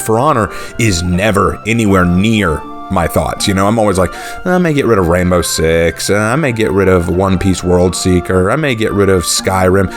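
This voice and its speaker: Young man, relaxed, slightly low voice, faint music bed, casual off-the-cuff channel chat. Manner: relaxed, thinks aloud with fillers and restarts. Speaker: YouTube channel host. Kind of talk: casual unscripted talk to viewers.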